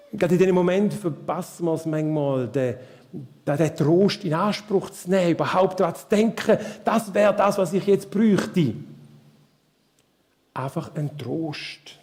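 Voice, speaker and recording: A man with a Swiss German accent preaching a live sermon, asking the congregation questions in a slow, searching way. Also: fairly high pitch, gravelly, a little mellow. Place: church hall. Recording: reverberant church hall.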